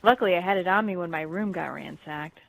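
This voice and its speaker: A woman's voice: lowish voice, fast, vocal fry. A woman playing an earnest, plucky young female alien in a sci-fi game audio drama, a little unsure of herself. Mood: frustrated, earnest. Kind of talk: fan audio drama, game-story character acting.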